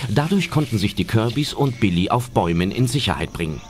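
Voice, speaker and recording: A man's voice: lowish voice, sing-song, a little fast. A man narrating an audio drama as a scripted adventure story. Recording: loud music under narration.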